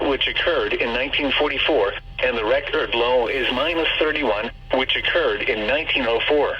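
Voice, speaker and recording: A man with a measured, impersonal, synthetic-sounding voice reading a formal scripted weather bulletin as an automated radio announcer. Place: weather radio broadcast captured in a moving car. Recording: muffled radio reception, clear noise, vehicle rumble.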